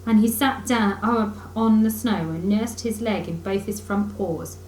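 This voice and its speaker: A woman's voice: medium pitch, measured pace, darkish tone. A woman narrating a children's story aloud expressively, like a teacher reading to pupils. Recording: roomy echo, faint background noise.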